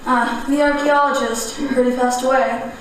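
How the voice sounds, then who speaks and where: A woman's voice: high-pitched, fairly even intonation, distant mic, a little hiss or hum, close to an everyday voice. Aloof young artist in a very echoey space, acted by a woman. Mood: aloof, cryptic. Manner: measured, philosophical, formal. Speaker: amateur stage actor. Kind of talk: radio-style stage drama.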